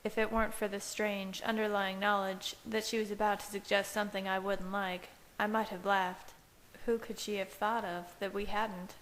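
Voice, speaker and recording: A woman's voice: mid-range voice, little pitch movement, normal speed, fairly bright tone, big-room sound, rough recording. A woman reading scripted fiction aloud as an amateur audiobook narrator. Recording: hissy home recording.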